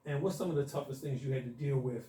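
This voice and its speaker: A man hosts a radio talk show, setting up his questions with firm opinions in a measured, deliberate way. His voice is mellow and mid-pitched, and he sounds a bit away from the mic in a roomy studio. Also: distant mic. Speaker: radio host. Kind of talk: radio interview.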